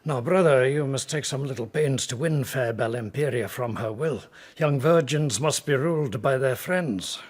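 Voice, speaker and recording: An actor playing a genial but authoritative older king, husky and measured, in a classical verse radio drama. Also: medium pitch, natural voice. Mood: counselling, firm. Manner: stately, measured, instructing.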